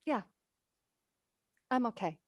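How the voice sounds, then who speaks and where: A woman playing an unwell, anxious woman in a staged play reading, talking in a halting, hesitant way. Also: no echo, clean studio sound, lightly acted. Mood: uneasy, unwell. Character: unwell, anxious woman brushing off her illness. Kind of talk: naturalistic stage play acting.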